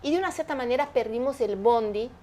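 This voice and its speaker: A woman's voice: a little high, quick pace, dark tone. A woman, speaking as an interview guest. She explains her points emphatically and often poses rhetorical questions. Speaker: guest, entrepreneur. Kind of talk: animated interview conversation.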